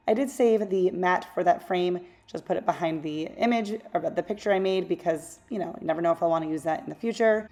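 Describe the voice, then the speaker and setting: A woman hosting a craft channel, talking through a tutorial in a casual, rambling voice-over; her voice is a little raspy from losing it. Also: fairly low pitch, dark tone. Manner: chatty, rambling, self-correcting asides.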